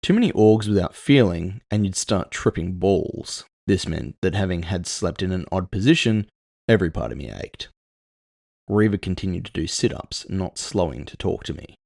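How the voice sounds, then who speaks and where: A male narrator reads first-person fiction with a measured, brooding delivery. His voice sits low, with a gravelly creak, and the recording is close and dry. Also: expressive, darkish tone, dry recording.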